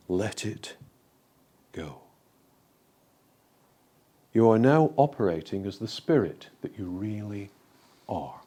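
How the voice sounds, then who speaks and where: Low, mellow man guiding a meditation. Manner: calm, gentle, deliberate with frequent pauses. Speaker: meditation guide. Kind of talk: guided meditation.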